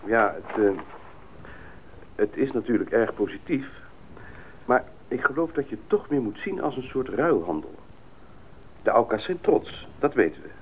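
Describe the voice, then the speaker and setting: A man's voice: mid-range voice, big pitch swings, moderate pace, long pauses. A man in a radio play playing a thoughtful, knowledgeable expedition member, explaining earnestly with some hesitation. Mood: earnest, reflective. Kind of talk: Dutch radio play acting. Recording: tinny old radio recording, faint noise.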